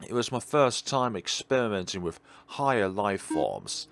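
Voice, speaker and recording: A man's voice: fairly low pitch, big pitch swings, measured pace, creaky, exaggerated voice. A man reading visual novel dialogue as a melancholy, world-weary God, in a wistful, dramatic way. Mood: bitter, emphatic.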